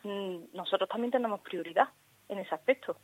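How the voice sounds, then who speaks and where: A woman's voice: mid-range voice, brisk, no echo, poor audio. A woman speaking spontaneously in a phone interview, earnest and insistent, often repeating herself. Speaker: school parents' association member interviewed by phone.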